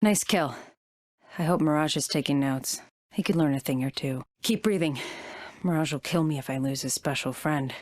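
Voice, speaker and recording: A woman's voice: low-pitched, normal speed, darkish tone, lightly acted. An actress voicing a cool female fighter in a video game, with dry, understated teasing. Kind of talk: game voice lines.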